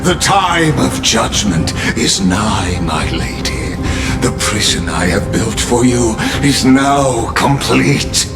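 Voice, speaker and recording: An actor playing a coldly courteous, menacing godlike administrator in a video game, speaking in a formal, theatrical, deliberate way. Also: male voice, mid-range voice, big pitch swings, loud music behind the voice. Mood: ominous. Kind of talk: dramatic video game voice acting.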